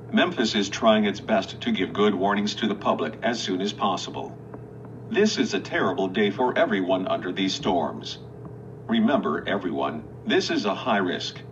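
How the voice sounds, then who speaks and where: A man's voice: on the low side, a bit bright. A man anchoring storm coverage in the style of a TV weather news broadcast. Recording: dull, noisy lo-fi recording.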